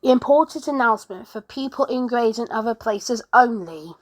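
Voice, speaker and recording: A woman narrating a homemade mock emergency broadcast in a halting way, often restarting her sentences. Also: a little high, lively intonation. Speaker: narrator of an emergency alert scenario video.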